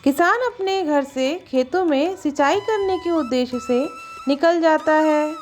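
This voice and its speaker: A woman narrates a scripted tale as storyteller. Her voice is very high, and music plays softly behind it. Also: measured pace.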